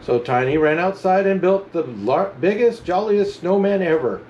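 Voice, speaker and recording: A man reading a children's storybook aloud as a Santa storyteller, with animated, chuckling narration. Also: sits high, normal speed, muffled recording, a little hiss or hum. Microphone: camera mic.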